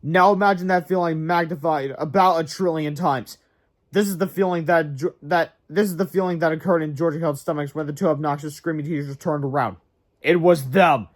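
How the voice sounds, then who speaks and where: A man narrating a storybook aloud in a dramatic way, with casual asides. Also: fairly high pitch.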